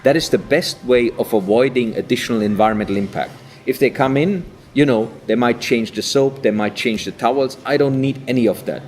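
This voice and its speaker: A man's voice: mid-range voice, a little fast, few pauses, darkish tone, slight background noise. A German man with a German accent, answering interview questions in a rambling, conversational way with many fillers. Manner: rambling, with frequent fillers and restarts. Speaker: interviewee, inspirational speaker.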